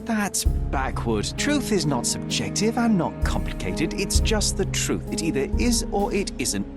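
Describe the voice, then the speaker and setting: Sherlock Holmes, cool young detective. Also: male voice, fairly high pitch, big pitch swings, measured pace, over loud music. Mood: dry. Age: young adult. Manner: dry, precise, dismissive. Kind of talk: video game cutscene voice acting.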